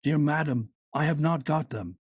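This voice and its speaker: Man reading a suave evasive gentleman, husky lightly raised voice, calm, audiobook. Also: fairly high pitch, moderate pace, dry recording, clean studio sound, pitched above the performer's own voice, lightly acted. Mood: cool. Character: smooth evasive gentleman lover, self-assured.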